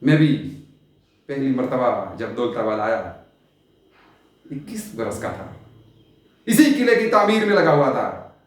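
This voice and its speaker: An actor playing a world-weary, eccentric sultan in a stage monologue, grand and theatrical, with long dramatic pauses. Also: male voice, medium pitch, big pitch swings. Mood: nostalgic, rapt. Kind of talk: Hindi stage play monologue, poetic Urdu diction. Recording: very echoey, reverberant room.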